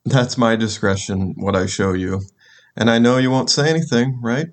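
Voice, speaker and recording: An actor playing a cynical government official in an audio drama, with a dry, authoritative manner. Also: male voice, medium pitch, moderate pace, close to an everyday voice. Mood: guarded, confiding.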